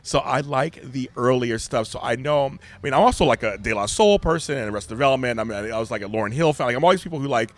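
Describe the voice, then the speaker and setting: A middle-aged man with a deep voice, speaking candidly and emphatically as a podcast guest. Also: fast talker, few pauses. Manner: animated, emphatic storyteller. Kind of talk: candid conversation.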